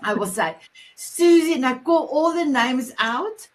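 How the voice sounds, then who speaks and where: High-voiced South African Afrikaans woman, gushing. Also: animated. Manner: gushing, affectionate, halting with repeated words. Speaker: show host. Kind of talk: effusive group talk show hosting.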